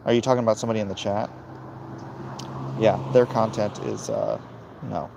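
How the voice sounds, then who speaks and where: A man with a gravelly voice hosting a casual, opinionated livestream, answering chat in a blunt, dismissive way and muttering between thoughts. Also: on the low side, sing-song, normal speed, background noise. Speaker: livestream host reading and answering chat comments.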